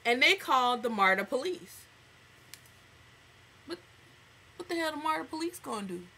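A young American woman telling stories in a casual vlog, with an animated, sassy, slangy manner, acting out reactions as she goes. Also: high voice, moderate pace, a little hiss or hum. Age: young adult. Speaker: vlogger. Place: small indoor room at home.

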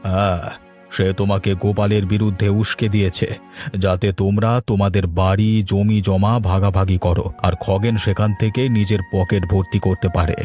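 A man's voice: fairly low pitch, flat delivery, moderate pace, a little mellow, faint music behind, close to an everyday voice. A man voicing a stern, fair-minded village elder in a cartoon, speaking firmly and with command. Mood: grave. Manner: commanding, firm. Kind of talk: Bengali cartoon voice acting.

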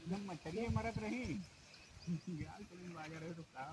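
A man, chatting in a relaxed, joking way while fishing by a river. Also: high-pitched. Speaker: fisherman. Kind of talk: casual outdoor chat. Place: riverside outdoors. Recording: loud outdoor noise.

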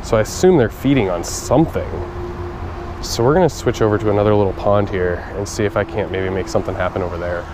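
A man's voice: lowish voice, fast talker. Chatty young man fishing outdoors. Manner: chatty, talks to viewers while fishing. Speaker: fishing vlogger. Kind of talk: casual outdoor commentary. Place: outdoors at a pond. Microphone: action camera. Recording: outdoor noise from a body camera.

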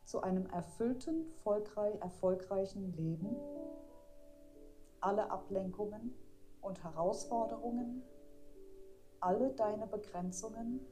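Slow-speaking woman guiding a meditation over music. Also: mid-range voice. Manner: gentle, deliberate, soothing instruction. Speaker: meditation guide. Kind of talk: guided meditation narration.

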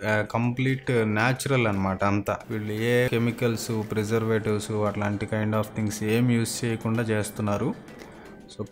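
A young man vlogging a factory tour, explaining each step to his viewers in a friendly way, recorded indoors in a small workshop. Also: fairly low pitch. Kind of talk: casual vlog narration.

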